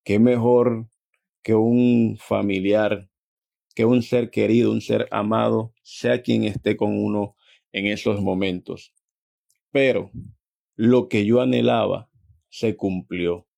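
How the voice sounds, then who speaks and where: A man from Venezuela with a Venezuelan accent, giving a reflective personal faith testimony in measured, halting phrases. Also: lowish voice, fairly even intonation, creaky, mellow. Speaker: church member giving a testimony.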